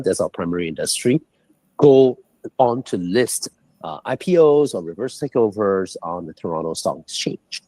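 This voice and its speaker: A man from Singapore, a guest on a livestream called in remotely, explaining things in an animated way and jumping in pitch on key numbers. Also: no room sound, poor audio. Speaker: guest, head of global strategy. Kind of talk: livestream interview talk. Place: indoors, calling in from abroad. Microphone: remote call.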